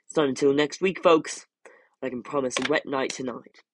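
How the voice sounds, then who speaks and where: A man's voice: medium pitch, steady tone, moderate pace, noisy background, lightly acted. A man voicing a chatty, folksy male TV weatherman in a storybook reading.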